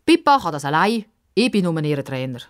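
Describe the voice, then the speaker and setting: A woman's voice: low voice, very animated, a little fast. A woman voicing a kindly old man, a proud former football star who coaches with self-assurance, in a children's story.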